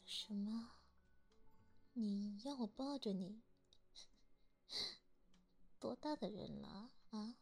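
Slow, soft-whispering woman. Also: mid-range voice, gravelly, breathy edge, soft background music. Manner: soft, coaxing, big-sister tone. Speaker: hairdresser comforting a younger boy. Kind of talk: whispered ASMR roleplay.

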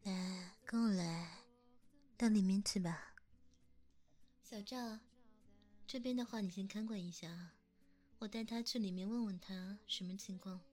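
Slow, soft-whispering woman. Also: medium pitch, soft background music. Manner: soft, coaxing, big-sister tone. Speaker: hairdresser comforting a younger boy. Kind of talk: whispered ASMR roleplay.

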